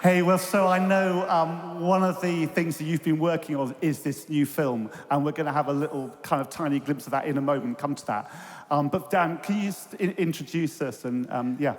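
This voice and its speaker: A man's voice: fairly high pitch, steady tone, moderate pace. A man reverend interviewing on a conference stage, talking conversationally with hesitant fillers. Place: large concert hall on stage. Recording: hall reverb, faint crowd.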